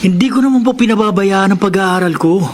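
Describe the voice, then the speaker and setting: Guy playing young scheming dude, high voice, radio drama, slight background noise. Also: brisk, close to an everyday voice. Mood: respectful, calculating. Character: scheming, cocky young male, chuckling troublemaker.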